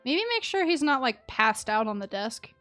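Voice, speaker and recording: A woman's voice: a little high, sing-song, normal speed, a bit bright, soft background music. A woman giving casual, chatty live commentary as she streams a game, reacting to the story.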